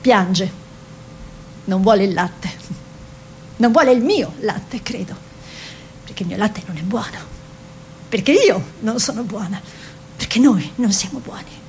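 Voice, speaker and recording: An actress playing an Italian woman painter and tender, anguished mother in a radio drama, with deliberate, lyrical phrasing. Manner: deliberate, lyrical phrasing with short repeated sentences. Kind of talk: literary stage-style radio drama monologue and dialogue. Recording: faint background noise with low rumble.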